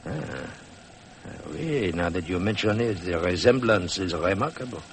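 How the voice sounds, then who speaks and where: Wry French first mate, low voice, genial, vintage radio adventure with faint noise. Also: male voice, animated, normal speed. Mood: musing. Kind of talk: 1950s radio drama acting. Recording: noisy vintage 1950s radio recording.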